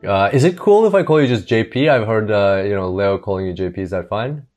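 A man's voice: on the low side, animated, fairly quick, darkish tone, soft background music. A man hosting a podcast, introducing his guest with enthusiastic, filler-filled talk. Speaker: podcast host.

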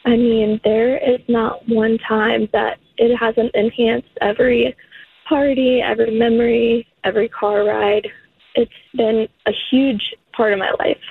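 A woman in her thirties calling into a radio show, soft-spoken and giggly with a small, high voice. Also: level tone, measured pace, vocal fry, husky. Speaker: radio caller. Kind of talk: casual phone chat. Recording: tinny phone line.

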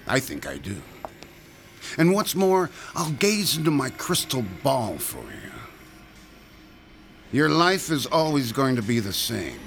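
Male thug for audio drama: taunting, mock-friendly menace, gritty creaky voice, very animated pitch. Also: takes it slowly, long pauses, faint music behind, exaggerated voice. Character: menacing, sneering male extortionist thug, mocking bully. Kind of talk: modern audio drama acting.

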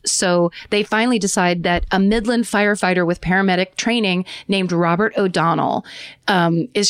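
A woman's voice: fairly low pitch, no room sound, studio quality. A woman co-hosting a podcast, telling a true story in a casual, chatty way, often restarting her sentences and laughing. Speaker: podcast co-host.

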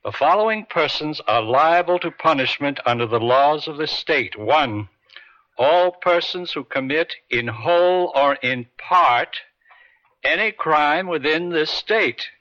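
An actor playing a stern judge in an old-time radio drama, speaking in a measured, formal, authoritative way. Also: male voice, on the high side, animated, bright, forceful tone. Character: stern, deliberate male judge delivering a ruling. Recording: dull vintage radio drama recording.

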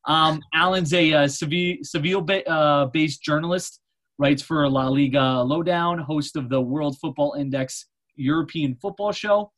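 A man in his twenties from America, hosting a casual podcast and talking in a halting way with many pauses and fillers. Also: fairly high pitch, a bit bright. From United States. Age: 20s. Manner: hesitant, lots of fillers. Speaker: podcast host.

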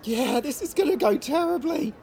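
A woman playing an exasperated, gloomy backstage costumer in a comedic audio drama, with a weary, sardonic, drawn-out delivery. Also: high-pitched, expressive, darkish tone, a little hiss or hum. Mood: weary, resigned.

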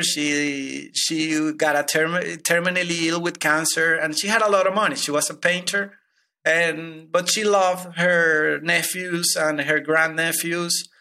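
Hesitant bright-voiced Venezuelan man. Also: on the high side. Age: 30s. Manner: hesitant, many repeated starts. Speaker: guest. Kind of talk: personal finance interview.

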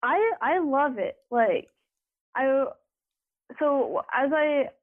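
A woman's voice: lively intonation, extremely slow. A young woman speaking as an interview guest, rambling with many filler words and restarts. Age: young adult. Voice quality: deeper voice. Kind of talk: conversational interview. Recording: phone line.

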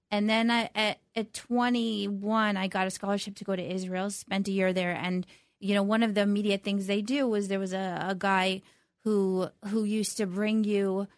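A middle-aged woman speaking as an interview guest, reflective and halting, often restarting her sentences. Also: medium pitch, no room sound, clean studio sound. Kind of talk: conversational interview.

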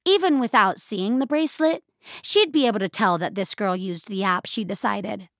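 A woman narrating scripted audio fiction in a measured, expressive storytelling style. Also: medium pitch, no echo, studio quality.

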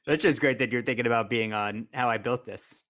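A man's voice: medium pitch, fairly quick, a bit bright. An American man hosting a sports podcast in a conversational interview style.

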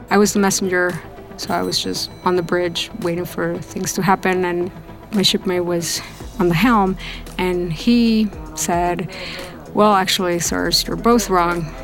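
Low-pitched, slightly creaky woman storyteller. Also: steady tone, unhurried, faint music behind. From Lima, Peru. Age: late 30s. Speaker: storytelling guest, navy officer and psychiatrist. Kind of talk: personal storytelling.

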